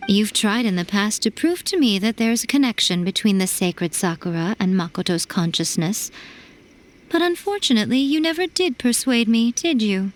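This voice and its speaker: An actress playing a wise, self-assured fox shrine maiden in a video game, eloquent and formal with a playful edge. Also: female voice, mid-range voice, animated, normal speed, lightly acted. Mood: teasing, wry. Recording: faint music behind. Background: faint music.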